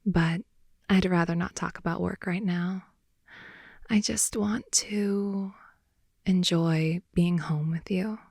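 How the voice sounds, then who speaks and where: Soft, creaky-voiced woman, intimate girlfriend ASMR. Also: fairly low pitch, steady tone, slow, no echo, studio quality. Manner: soft, often whispery, sighing, teasing. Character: playful, affectionate girlfriend rambling sleepily in bed. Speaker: ASMR roleplay performer playing a girlfriend. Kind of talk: improvised intimate ASMR roleplay. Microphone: close microphone, dry intimate sound.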